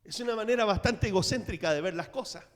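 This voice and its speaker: A middle-aged man preaching a sermon in a church, telling the story with dramatic swings in loudness. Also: high voice, quick pace. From Latin America. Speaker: pastor. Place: church sanctuary. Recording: reverberant church hall.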